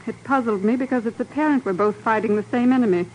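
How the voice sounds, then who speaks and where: A woman's voice: on the high side, quick pace, natural voice. An actress playing a grave Indonesian woman ally in a 1950s radio drama, formal, precise and composed. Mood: earnest. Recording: noisy old radio recording.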